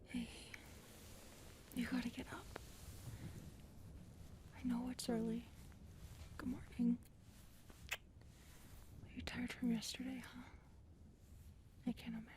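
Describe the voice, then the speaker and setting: A woman voicing an affectionate, playful alien lover in an ASMR roleplay, speaking softly and intimately and often dropping into breathy whispers. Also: on the high side. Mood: tender, sleepy. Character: affectionate, playful adult female alien lover, tall otherworldly species.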